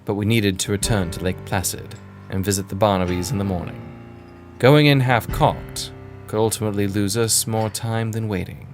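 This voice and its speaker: Low-voiced man as a formal storytelling gentleman adventurer. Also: fairly even intonation, soft background music, natural voice. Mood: measured, grave. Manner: formal, literary, measured phrasing. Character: male first-person narrator, genteel adventurer recounting a kidnapping case. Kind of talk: scripted period-story narration.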